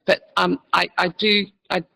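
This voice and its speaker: High gravelly man, halting and formal. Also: little pitch movement, sharp tone. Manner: halting, many ums and restarts, formal policy wording. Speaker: panelist. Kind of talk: panel discussion.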